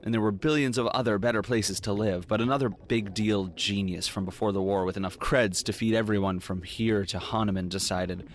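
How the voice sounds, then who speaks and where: Man playing a low-voiced, world-weary detective. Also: fairly even intonation, fairly quick, hardly any pauses, slight background noise, natural voice. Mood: wry, brooding. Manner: wry, brooding, sardonic. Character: world-weary, wry male detective narrating his story. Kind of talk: noir audio drama narration and dialogue. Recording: faint music behind the voice.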